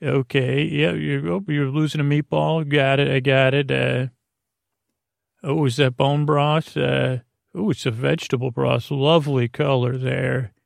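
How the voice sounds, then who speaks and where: A middle-aged man with a gravelly voice, rambling through a bedtime monologue as a podcast host, halting and drifting into tangents. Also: medium pitch, flat delivery, no room sound, clean studio sound. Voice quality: gravelly, creaky. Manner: meandering, halting, trailing off into tangents.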